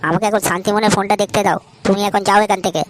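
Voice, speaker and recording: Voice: mid-range voice, steady tone, rapid-fire, heavy background noise, lightly acted. An actor voicing a hapless, crude, complaining young married slacker in a comedy cartoon, speaking. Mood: irritated. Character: hapless foul-mouthed young married man, jobless, whining slacker.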